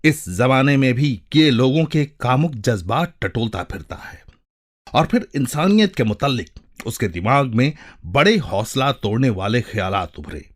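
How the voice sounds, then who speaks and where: Slow, highly expressive male storyteller. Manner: measured, expressive, pausing between phrases. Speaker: narrator. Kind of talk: dramatic literary story narration.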